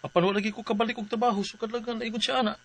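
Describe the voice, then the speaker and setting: A man's voice: high-pitched, flat delivery, rapid-fire, fairly bright tone. A man acting a worried, weary husband in a radio drama, earnest and troubled. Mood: fretful. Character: worried, weary working husband pleading for his wife.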